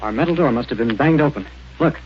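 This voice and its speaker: A man's voice: mid-range voice, animated, fast, lightly acted. An actor playing a brave young male space pilot in a 1930s radio drama, speaking in a confident, commanding way. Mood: tense. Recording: dull old radio recording.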